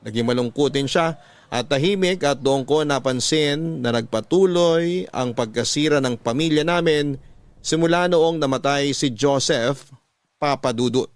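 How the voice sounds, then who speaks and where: An actor narrating a letter in the first person as a sorrowful, devoted husband, solemn and heartfelt. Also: male voice, fairly high pitch, no echo, close to an everyday voice.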